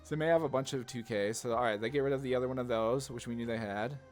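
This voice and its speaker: A man giving casual gameplay commentary, rambling informally as he thinks through each move out loud. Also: mid-range voice, fast, faint music behind. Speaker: gaming content creator, player.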